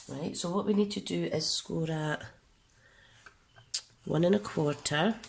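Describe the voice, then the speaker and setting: A woman's voice: sits low, creaky, background noise. A woman from the UK demonstrating a papercraft project, congested and coughing, chatty and correcting herself as she goes. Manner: chatty, stops to self-correct and explain. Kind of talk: casual craft tutorial.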